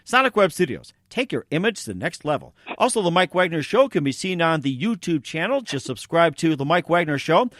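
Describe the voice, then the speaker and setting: A slightly higher-pitched man hosting a radio interview show, recorded close and dry with no room sound. He pads his sentences with "um", "you know" and "as well, too". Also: sing-song, brisk, few pauses, a bit bright, no room sound.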